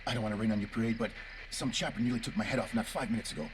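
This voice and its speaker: An actor playing an easygoing, folksy ranger calling in by radio in a video game. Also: male voice, on the low side, steady tone, rapid-fire, noisy background, natural voice. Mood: casual, puzzled.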